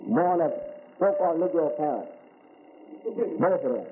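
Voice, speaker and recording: A man's voice: fairly high pitch, expressive, a little slow. An American man giving a religious lecture as an Islamic teacher, asking rhetorical questions and repeating key words. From United States. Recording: tinny phone-like recording with faint hiss.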